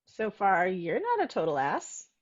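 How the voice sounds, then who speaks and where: A woman's voice: mid-range voice, wildly swooping pitch, a little slow. A woman playing a guarded, cool young love interest, understated, in a table read with dull, lo-fi sound. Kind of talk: amateur screenplay table read.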